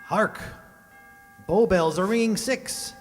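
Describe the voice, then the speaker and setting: A man's voice: high voice, takes it slowly, soft background music. A man playing a friendly, hearty cart driver who calls out in a folksy way in a radio play. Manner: hearty, calling out. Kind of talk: children's radio play acting. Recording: reverberant hall, slightly noisy.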